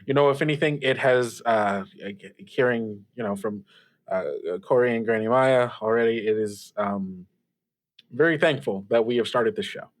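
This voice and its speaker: A man's voice: mid-range voice, moderate pace, natural voice. A man playing a sincere, sentimental radio show host who talks to faithful listeners in an earnest, hesitant way. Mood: tender, reflective.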